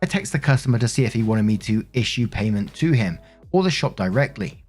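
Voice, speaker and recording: A man's voice: on the low side, sing-song, a little fast, darkish tone, breathy edge, faint music behind. A man narrating online stories in a plainspoken, conversational way, as a host who reads aloud and comments casually. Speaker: narrator and host of a story-reading show.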